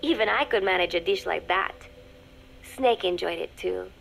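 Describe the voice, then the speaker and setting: A woman voicing a young undercover spy in a video game, dictating a private diary in a measured, confiding, dryly scornful way. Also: fairly low pitch, vocal fry, a bit bright, soft background music. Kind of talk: scripted dramatic diary narration for a game.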